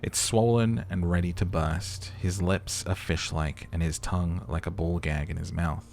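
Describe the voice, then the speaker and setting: A man's voice: low voice, fairly even intonation, moderate pace, vocal fry, a little mellow, a little hiss or hum. A man playing through a video game, giving commentary and dramatic narration. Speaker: let's player narrating and voice acting a game. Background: game music.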